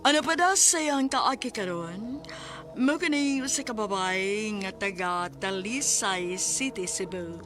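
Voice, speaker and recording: A woman announcing a radio drama in a slow, formal way, over music. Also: medium pitch. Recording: loud music, distant voice.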